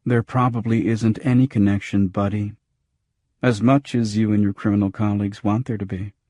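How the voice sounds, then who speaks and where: A man's voice: on the low side, steady tone, normal speed, warm tone, no room sound, close to an everyday voice. A man voicing a dismissive, cynical police lieutenant with a blunt, matter-of-fact manner in a detective audiobook. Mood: cold, cynical.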